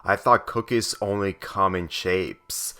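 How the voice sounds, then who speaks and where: A man's voice: low voice, steady tone, slow pace, sharp tone, exaggerated voice. A man voicing a friendly, easygoing young man in a fan fiction story, helpful in manner. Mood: mildly surprised. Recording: music under the voice.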